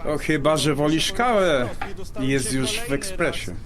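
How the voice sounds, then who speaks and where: A man's voice: on the high side, a little slow, a crowd in the background, lightly acted. An actor playing a jovial husband who jokes with his wife and her friend. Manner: jovial and joking. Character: jovial, teasing husband fond of news. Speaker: husband, Piotr. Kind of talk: audio drama acting.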